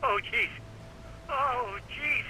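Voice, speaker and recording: A man's voice: extremely high pitch, extremely slow, noisy background, exaggerated voice. An actor playing a jittery, nervous male friend in a horror video game.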